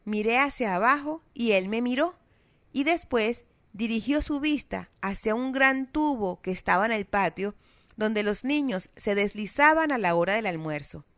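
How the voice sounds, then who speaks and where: A woman with a South American Spanish accent, reading a children's story aloud in a steady, expressive way. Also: fairly high pitch, normal speed, no room sound. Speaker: narrator. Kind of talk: scripted storybook narration.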